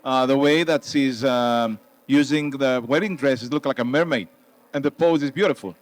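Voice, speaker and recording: Greek accent guy 50s, medium pitch, halting casual photo critique. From Thessaloniki, Greece. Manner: halting non-native English phrasing. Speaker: wedding photographer and competition judge. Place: booth at a trade show.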